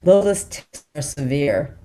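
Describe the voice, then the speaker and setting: A woman's voice: low-pitched, lively intonation, measured pace, noisy background. A woman reading screenplay stage directions as scripted narration for a table read.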